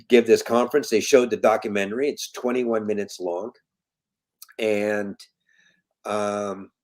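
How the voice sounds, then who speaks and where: A man in his fifties from Colombia, talking as an interview guest and foundation president in earnest, emphatic tones, repeating his key points. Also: lowish voice. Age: 50s. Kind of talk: casual interview talk.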